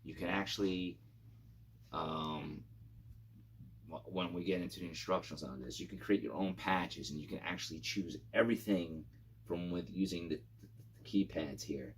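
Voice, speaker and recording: A middle-aged man hosting a casual video channel, talking informally and haltingly through an overview of gear. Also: deep voice, a little hiss or hum. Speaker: YouTube channel host.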